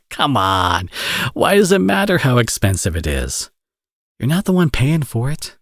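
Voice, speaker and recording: A man's voice: mid-range voice, wildly swooping pitch, a little slow, fairly bright tone, dry recording, studio quality, heavily acted. A man playing a flirty, smug villain who dotes as a father, in a romantic ASMR audio roleplay with playful, conversational delivery. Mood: teasing, smug. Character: teasing, flirty male villain, now a doting father.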